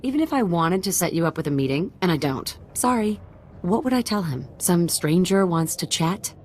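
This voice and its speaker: Woman acting a wary assistant, lowish voice. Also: animated, normal speed, darkish tone, slight background noise, natural voice. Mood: guarded. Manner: casual, wary. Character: guarded young female assistant working off debt.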